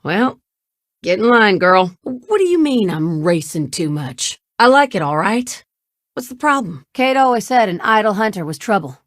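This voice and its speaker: A woman plays a hardworking female shipwright from a video game, wry and brash. Her mid-pitched voice has a folksy, casual bossiness, teasing as much as ordering. The sound is close and dry. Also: big pitch swings, normal speed, dry recording, natural voice. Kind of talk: video game character dialogue.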